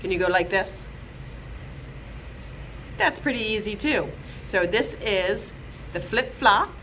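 A woman in her thirties, a children's librarian, reading a picture book aloud and leading songs for young children in a gentle, sing-song, expressive way, filmed on a webcam in a small room. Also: mid-range voice, slow, slight background noise, rough recording. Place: small room with bookshelves, indoors. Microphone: webcam at close range.

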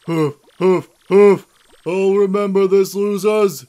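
A man's voice: high voice, steady tone, takes it slowly, warm tone, pitched above the performer's own voice. A man voicing a blustering villain boss from a video game, bellowing theatrically. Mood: defeated, furious. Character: blustering villain henchman boss piloting a robot.

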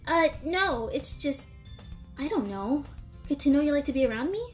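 A woman voicing an anxious, lovestruck teenage schoolgirl in a comic dub, flustered and expressive. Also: sits high, normal speed, faint music behind. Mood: flustered, downcast. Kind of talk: animated-style comic dub acting.

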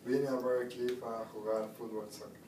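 A young man from Mexico giving relaxed, plain interview answers in a small indoor room. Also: medium pitch, a little slow. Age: young adult. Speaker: student interviewee. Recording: distant echoey room, clear noise.

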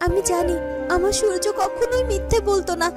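An emotional young fairytale heroine in love, played by a woman, with an extremely high voice. She speaks earnestly and melodramatically over clearly audible music. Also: a little fast. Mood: pleading. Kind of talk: melodramatic Bengali cartoon voice acting. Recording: music behind the voice.

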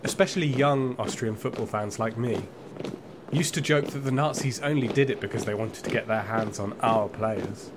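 A man's voice: medium pitch, lightly acted. An actor playing a nostalgic, proud and wry Austrian football fan, telling his story theatrically in an audio play. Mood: wry, defiant. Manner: theatrical, emphatic, with weighty pauses. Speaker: narrator. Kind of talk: dramatic first-person storytelling in an audio play. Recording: music and crowd noise behind.

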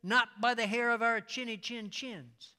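A man voicing the little pigs in a storybook reading, shouting high, defiant refusals. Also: fairly even intonation, measured pace, exaggerated voice.

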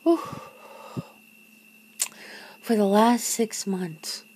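A woman playing a nervous, teasing, disbelieving girlfriend in an intimate ASMR roleplay, talking haltingly and often dropping toward a whisper. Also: medium pitch, steady tone, dark tone, a little hiss or hum. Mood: nervous, alarmed. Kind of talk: ASMR roleplay, one side of an intimate conversation.

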